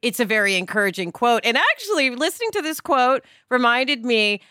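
A woman's voice: a little high, sing-song, brisk, bright, forceful tone, clean tone, dry recording, studio quality. A woman chatting casually as a podcast co-host, emphatic and given to dramatizing her points. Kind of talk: casual opinionated chat.

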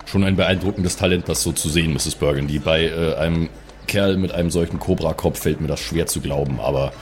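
A man playing a courteous, gruff adventurer in an improvised roleplay audio game, speaking politely and with understatement. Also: very deep voice, brisk, soft background music. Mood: courteous, dry. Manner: polite, understated, formal address.

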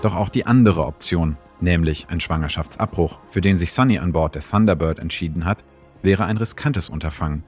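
A man's voice: low-pitched, a little fast, a little mellow, husky, faint music behind. A man reading scripted documentary narration for a podcast in a serious, polished way.

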